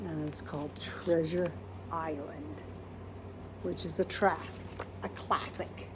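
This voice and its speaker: A woman's voice: low voice, fairly even intonation, takes it slowly, background noise. A woman reading a children's picture book aloud expressively, acting out quoted words and putting questions to listeners. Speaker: storybook reader.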